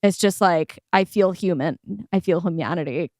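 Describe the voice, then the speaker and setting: A woman's voice: fairly low pitch, normal speed, darkish tone, dry recording, clean studio sound. A woman on a podcast panel, talking through ideas casually and analytically, with emphatic, informal phrasing.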